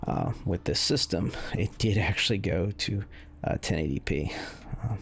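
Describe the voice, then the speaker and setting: A man's voice: low-pitched, lively intonation, moderate pace, a little hiss or hum. A man giving casual, unscripted commentary as a game reviewer, explaining things in a meandering, hesitant way. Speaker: video game reviewer running benchmarks. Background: music under the voice.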